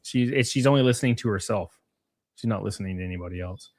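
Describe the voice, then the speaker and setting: A man's voice: lowish voice, quick pace, a little mellow. A man hosting a stream, giving blunt, rambling and profane reaction commentary. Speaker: streamer host.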